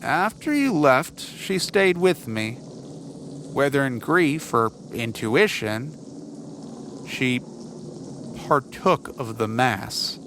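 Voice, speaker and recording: Man acting a slow, gentle, folksy bug catcher. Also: medium pitch, lively intonation, a little hiss or hum. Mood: resigned, reassuring. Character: apologetic, accepting bug catcher, gentle companion. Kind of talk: audio fiction character acting.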